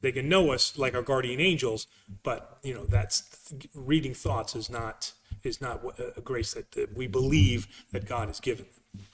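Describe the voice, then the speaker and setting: A man speaking as a priest in a conversational religious question-and-answer session, emphatic and halting, often repeating phrases as he explains. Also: mid-range voice. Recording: echoey hall sound, distant mic.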